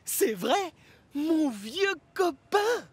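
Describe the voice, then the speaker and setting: An actor voicing an excitable, eager young talking locomotive in a French-dubbed children's cartoon. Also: extremely high pitch, drawn-out words, background noise, full character voice. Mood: excited, eager.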